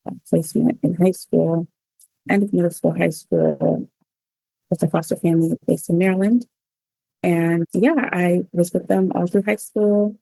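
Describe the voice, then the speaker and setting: She speaks with a slightly low voice that often drops into creak, and her pitch stays fairly flat. She is a woman sharing her own story in an interview, reflective and informal, pausing as she finds her words. She comes from Cameroon and later the United States. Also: no echo, poor audio. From Cameroon, then the United States. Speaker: interview guest, cookbook author. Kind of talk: personal storytelling in a conversational interview.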